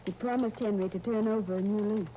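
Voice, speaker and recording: Actress as an earnest young niece, muffled old radio. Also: female voice, medium pitch, fairly even intonation, fast, dark tone, lightly acted. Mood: eager. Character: earnest, encouraging young niece, Sunday, in wartime England. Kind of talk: 1930s radio serial acting. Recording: muffled, noisy 1939 radio transcription disc.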